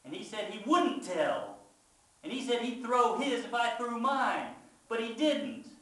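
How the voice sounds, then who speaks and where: A man's voice: very high voice, big pitch swings, measured pace, fairly bright tone, voice pushed up, heavily acted. A man voicing an upset young farm boy in a live-style story, with folksy, indignant outbursts. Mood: tearful pleading. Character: aggrieved young farm boy, yelling and tearful. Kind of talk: dramatic first-person storytelling. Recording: very echoey room, far from mic.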